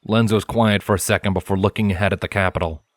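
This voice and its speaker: Low-voiced man narrating a story. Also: steady tone, fast, dry recording, clean studio sound. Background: soft music.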